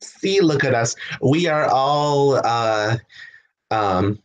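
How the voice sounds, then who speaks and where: A man's voice: medium pitch, animated, extremely slow, rough recording. Chatty man hosting a livestream. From United States. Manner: chatty, often breaks into laughter and restarts sentences. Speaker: livestream host. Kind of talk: casual livestream chat answering viewer questions.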